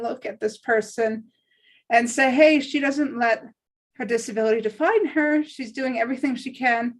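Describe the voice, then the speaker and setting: A woman talking about herself casually, in an earnest, assertive way. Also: on the high side. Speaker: actress and aspiring voice actor introducing herself. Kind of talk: casual self-promotion in an online group.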